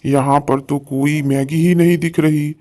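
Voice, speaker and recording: A man's voice: a little high, little pitch movement, measured pace, mellow, natural voice. A man voicing a spiteful village shopkeeper in a cartoon story, a petty schemer with a blunt manner.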